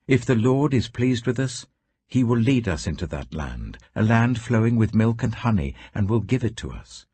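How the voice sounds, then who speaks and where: A man's voice: mid-range voice, very animated, moderate pace, warm tone, slightly rough, no echo, voice pushed up. British guy doing an earnest israelite leader, urgent, pleading, voice swinging up and down, scripture audiobook. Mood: urgent encouragement. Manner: urgent, impassioned.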